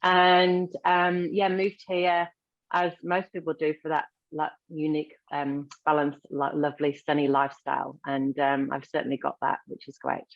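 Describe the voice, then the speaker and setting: A man's voice: fairly high pitch, completely flat delivery, moderate pace, gravelly, no echo, muffled recording. A man from England with a northern English accent, a recruitment manager introducing himself in a chatty way. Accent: northern English, Lancashire. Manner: chatty, self-deprecating, with laughs.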